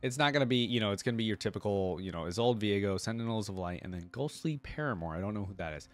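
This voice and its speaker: A man hosting a reaction video, reading aloud and commenting informally as he thinks out loud for viewers. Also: fairly low pitch, lively intonation, quick pace.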